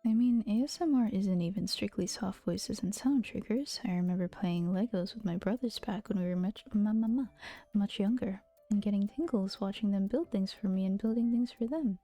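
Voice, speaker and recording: A woman streamer chatting casually in a soft, gentle, often half-whispered voice, with playful teasing and giggles, speaking close to the mic. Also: mid-range voice, normal speed, soft background music. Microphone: close to a regular mic.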